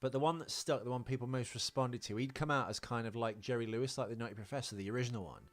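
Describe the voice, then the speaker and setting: A man's voice: medium pitch, quick pace, no echo. A man co-hosting a podcast, chatting casually about films and drifting off into rambling tangents. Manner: rambling, easily sidetracked storyteller. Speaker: podcast co-host. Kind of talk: casual film trivia chat.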